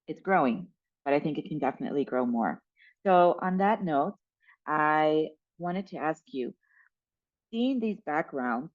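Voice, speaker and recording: A woman's voice: deep voice, darkish tone, no echo, highs cut off. A woman moderating a panel webinar, asking questions with frequent hesitations. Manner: hesitant, many fillers. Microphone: video call.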